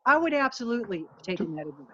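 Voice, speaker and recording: A woman's voice: medium pitch, sing-song, racing, fairly bright tone. A woman guest in an interview, speaking in an earnest, emphatic and motivational way. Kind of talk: candid interview talk. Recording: dull lo-fi dry sound.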